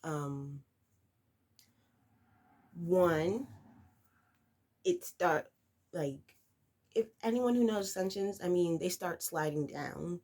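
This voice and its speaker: Woman vlogger with a head cold: nasal and congested, unhurried, meandering chat full of ums. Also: fairly low pitch, darkish tone. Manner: rambling, with many fillers and restarts. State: stuffy nose from a cold. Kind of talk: casual talk to camera.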